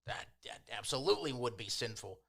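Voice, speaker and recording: A man's voice: medium pitch, very animated, fairly quick, vocal fry, a bit bright, full character voice. A man voicing a burly old town enforcer in a tabletop game, a condescending bully who relishes his bit of power. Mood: smug, condescending. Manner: officious, condescending.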